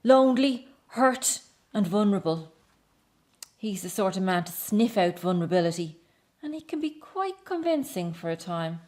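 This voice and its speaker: A middle-aged woman acting in a radio drama as a remorseful, hurt estranged wife and mother, speaking in an emotional, halting, confessional way. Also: medium pitch, lively intonation, a little mellow, close to an everyday voice. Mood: hurt, bitter.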